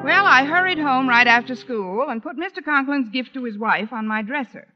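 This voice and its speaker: An actress playing a wry, quick-witted schoolteacher in a vintage radio sitcom, with dry wisecracking delivery. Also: female voice, sits high, expressive, over loud music. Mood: wry narrating. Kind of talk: 1940s-50s radio sitcom acting. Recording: muffled vintage radio recording.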